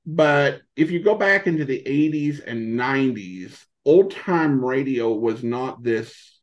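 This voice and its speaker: A middle-aged man, a podcast guest, answering in a casual, halting way and often rephrasing himself. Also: medium pitch, expressive, muffled recording. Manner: halting, hesitant, frequently rephrasing. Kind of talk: casual interview answers, reminiscing.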